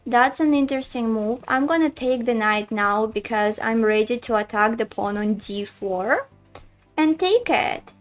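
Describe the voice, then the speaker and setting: A woman's voice: fairly high pitch, lively intonation, unhurried, faint music behind. A woman from Russia with a Russian accent, commentating her own chess games live and chatting to viewers as she thinks aloud. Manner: chatty, addresses chat as guys, thinks aloud. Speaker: chess streamer. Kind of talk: live-stream commentary while playing.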